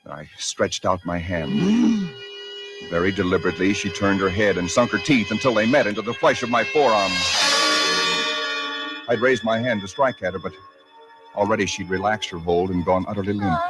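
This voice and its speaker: An actor playing a shaken, dread-filled husband in 1940s radio suspense, earnest and halting, on a vintage recording. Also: male voice, fairly low pitch, lively intonation, over music, close to an everyday voice, rough recording. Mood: haunted, dread-filled. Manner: halting, earnest, repeats words.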